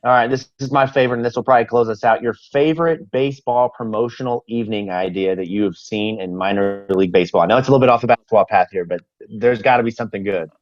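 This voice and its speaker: A man's voice: on the low side, fast, hardly any pauses, no room sound, dull audio. A man hosting a sports podcast, interviewing his guest in a casual, conversational way.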